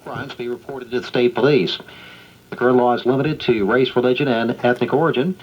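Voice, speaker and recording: A man's voice: medium pitch, fairly even intonation, fairly quick. A man reading a scripted news bulletin, heard through an old radio speaker. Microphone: old radio speaker picked up by camera. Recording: dull lo-fi radio speaker playback.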